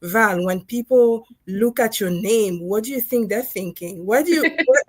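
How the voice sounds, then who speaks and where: A woman from Congo who grew up speaking French tells her story in a relaxed livestream chat. She thinks aloud, pausing on fillers. Her voice sits at a middle pitch, and the sound is dry and close. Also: slightly rough, dry recording. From Democratic Republic of Congo. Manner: reflective, storytelling with frequent fillers and laughs. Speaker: dentist and co-author guest. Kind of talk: casual livestream conversation.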